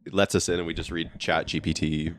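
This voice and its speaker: A man's voice: sits low, flat delivery, fast talker, a little hiss or hum. A man co-hosting a casual podcast from a friend's basement, talking in a laid-back, self-mocking way with plenty of fillers. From Canada. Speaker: podcast co-host and editor. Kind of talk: casual chat.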